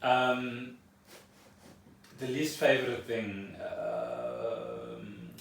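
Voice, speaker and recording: The room rings heavily around this man, who sits some way from the mic. He has a South African accent and a mid-pitched voice. He chats casually, as a co-host at home. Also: sing-song, drawn-out words, creaky, a little hiss or hum. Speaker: podcast co-host. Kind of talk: casual chat. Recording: echoey room, far from the mic.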